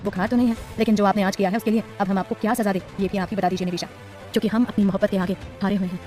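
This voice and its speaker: A woman's voice: mid-range voice, steady tone, rapid-fire, warm tone, soft background music, voice pushed down, lightly acted. A woman voicing a stern, possessive young husband who speaks in a formal, commanding way. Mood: tender. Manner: formal, clipped, authoritative.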